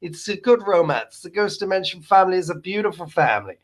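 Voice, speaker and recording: A man's voice: high-pitched, expressive, fast talker. A man hosting a live stream, chatting playfully and reading out viewer comments while losing his voice. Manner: playful and jokey, repeating exclamations.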